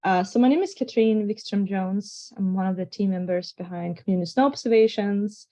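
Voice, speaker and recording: Brief: Swedish-accented woman scientist, warm mellow tone, relaxed guest. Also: mid-range voice, a little fast, muffled recording. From Sweden. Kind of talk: casual self-introduction. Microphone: video call.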